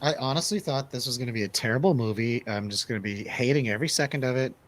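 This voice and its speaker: A man's voice: mid-range voice, quick pace. A man in a casual, opinionated film review chat, repeating his phrases for emphasis. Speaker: movie review panelist.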